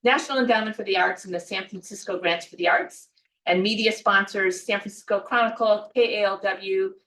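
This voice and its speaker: A woman's voice: mid-range voice, steady tone, brisk, a bit bright. A woman giving the announcements and introductions at a literary event, with many ums and uhs. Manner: reads off a list, with frequent ums and uhs. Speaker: event organizer and development staff. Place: event room at a book club. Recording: echoey room from far off.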